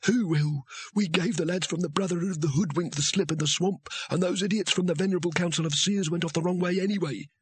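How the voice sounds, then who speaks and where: A jumpy young male wizard, put on by a man reading a fantasy audiobook. His voice is pitched well up, and he sounds anxious and full of doubt. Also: fast talker, slight background noise, close to an everyday voice. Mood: dismissive. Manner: anxious, questioning.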